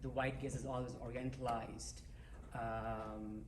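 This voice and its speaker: A man's voice: mid-range voice, flat delivery, unhurried, background noise. Rambling man in a roomy theater. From South Asia. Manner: rambling, filler-heavy. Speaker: audience member. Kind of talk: audience comment at Q&A. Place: theater auditorium.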